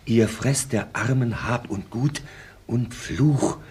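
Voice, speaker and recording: An actor playing an indignant man reciting protest verse in a German radio drama, declamatory and husky. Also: mid-range voice, expressive, slow pace, a little mellow, a little hiss or hum. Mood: grim indignation.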